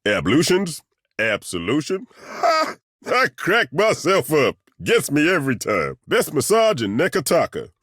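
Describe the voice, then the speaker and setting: An actor playing a burly, good-humoured ageing masseur turned adventurer in a video game, with a husky voice and a folksy, self-deprecating, joking way of talking. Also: male voice, a little high, wildly swooping pitch, fairly bright tone, no room sound, clean studio sound, exaggerated voice. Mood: jovial, joking. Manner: folksy, joking, chuckling, drawn-out hesitations. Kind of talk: video game character dialogue.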